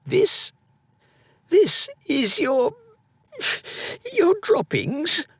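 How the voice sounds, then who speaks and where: A timid, earnest little boy from a storybook, played by a man. The voice is pushed high and swings about with wonder, in a dry close recording. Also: sing-song, extremely slow, creaky, no room sound, exaggerated voice. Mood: shocked.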